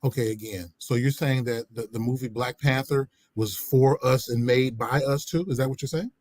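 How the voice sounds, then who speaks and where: A man co-hosting a podcast, arguing bluntly and loudly, cussing freely and speaking with passion. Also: mid-range voice, moderate pace, no room sound. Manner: loud, blunt, cusses, talks with passion. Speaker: podcast co-host. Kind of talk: heated casual debate.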